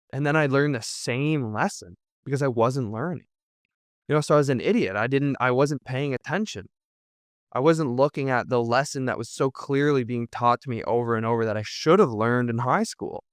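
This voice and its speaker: A man with a clean, resonant and fairly low voice speaks as a podcast guest. He is earnest and reflective, circling back to rephrase his points in a conversational flow. The sound is dry, with very little room in it. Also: fairly bright tone, clean tone, no room sound. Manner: earnest, repeats and rephrases points, often says "you know". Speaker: podcast guest, content creator. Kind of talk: reflective conversational interview answers.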